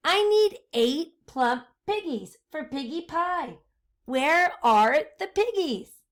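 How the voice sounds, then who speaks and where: A woman's voice: high-pitched, animated, takes it slowly, voice pushed up. Woman putting on a screechy, cranky storybook witch. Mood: suspicious, furious. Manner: screechy, demanding exclamations. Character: cranky hungry witch, scheming and demanding, storybook villain.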